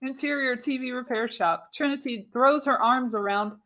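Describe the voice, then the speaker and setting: A woman's voice: sits high, normal speed, fairly bright tone, poor audio. A woman narrating screenplay stage directions for a live radio play, reading expressively with the occasional stumble. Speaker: narrator, show host.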